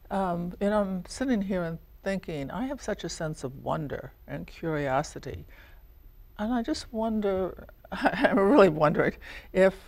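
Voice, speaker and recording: Woman host, gravelly fry in the voice, thoughtful curious questioner. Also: medium pitch, sing-song, unhurried, a little mellow. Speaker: talk show host. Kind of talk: interviewing a guest.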